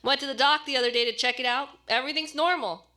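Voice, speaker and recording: A woman's voice: sits high, quick pace, bright, forceful tone, voice pushed up. A woman voicing a kindly, casual old grandfather in a short story reading. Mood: easygoing. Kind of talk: short story character dialogue.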